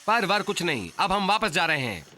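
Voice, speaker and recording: A man's voice: high-pitched, big pitch swings, normal speed. An actor voicing a stern, protective and fretful male cartoon adventurer. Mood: scolding. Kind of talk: Hindi cartoon dub acting.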